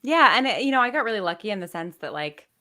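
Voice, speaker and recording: A woman's voice: medium pitch, very animated, quick pace, sharp tone. A woman, a guest audio drama writer, talking casually on a video call, with lots of "like" fillers. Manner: chatty, frequent "like" fillers. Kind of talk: casual conversation.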